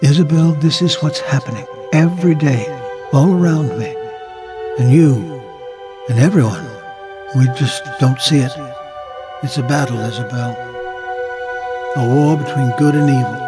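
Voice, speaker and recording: Man playing a gentle old grandfather over music. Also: mid-range voice, lightly acted. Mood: solemn. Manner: earnest, measured, tender. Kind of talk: audio drama acting. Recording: music under the voice.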